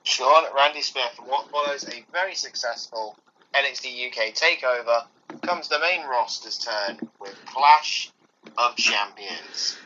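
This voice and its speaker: Male co-host, bright edgy voice, dull recording with faint hiss. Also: mid-range voice, measured pace. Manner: chatty, with restarts. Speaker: podcast co-host. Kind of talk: casual wrestling chat.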